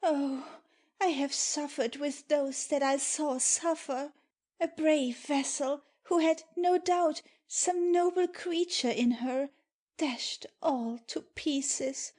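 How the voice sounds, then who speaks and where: Woman playing high-voiced, tender young maiden. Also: slow, a little mellow. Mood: anguished, pleading. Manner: pleading, theatrical. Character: tender, distressed young daughter of a sorcerer. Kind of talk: dramatic audiobook reading of verse.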